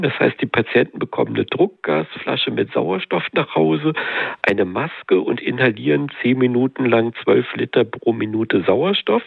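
A man with a deeply gravelly, husky voice, patiently explaining medical details in expert terms. His voice is lively in pitch and comes through a tinny, phone-like radio line. The sound is dry, with no room around it. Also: no echo. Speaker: headache specialist. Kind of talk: radio call-in expert answers.

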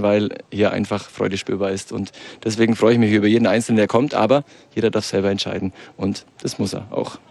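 A man's voice: mid-range voice, a little mellow, dry recording. A man speaking as a priest in a live radio interview, giving spontaneous answers in an earnest, enthusiastic manner. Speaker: priest, youth chaplain. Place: outside-broadcast van.

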